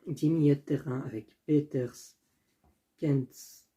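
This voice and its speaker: A man's voice: a little high, fairly even intonation, slow pace, dark tone. A young man hosting a casual solo football video, thinking aloud and often stopping to correct himself. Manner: talks as he thinks, with restarts and self-corrections; stumbles over names and apologizes.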